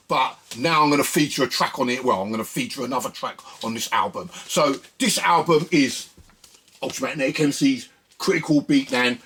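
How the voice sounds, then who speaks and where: A man's voice: a little high, fairly bright tone, husky. A man presenting records in a casual, conversational music commentary, often repeating phrases for emphasis.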